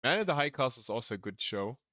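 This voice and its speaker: A man with a German accent chatting casually as a streamer reacting to a video, pausing to search for English words. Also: medium pitch, lively intonation, pushed and bright, no room sound, studio quality, faint music behind. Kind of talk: casual live-stream chat.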